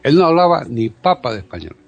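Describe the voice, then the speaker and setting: A man's voice: fairly high pitch, sing-song, quick pace, muffled recording. An older man hosting a radio show, chatting and rambling with repeated words as he tells a story. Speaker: radio host. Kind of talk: casual radio interview.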